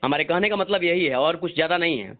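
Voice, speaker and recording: A man's voice: on the high side, fast. A man with a Bihari accent, a singer, explaining himself defensively and insistently over the phone, often repeating himself. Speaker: Bhojpuri singer. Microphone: telephone. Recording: narrow muffled phone-call recording.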